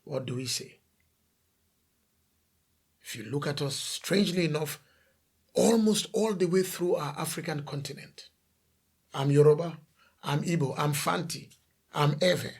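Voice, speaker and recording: A man's voice: fairly high pitch, a little slow, pauses often, slightly rough. A man from The Gambia preaching a sermon as a pastor, emphatic, asking rhetorical questions and repeating lists of phrases.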